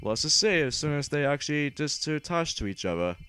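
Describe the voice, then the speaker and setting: A man giving casual, rambling, halting game commentary as a let's play host. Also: fairly high pitch, sing-song, sharp tone, slight background noise. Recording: game music behind. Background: video game music.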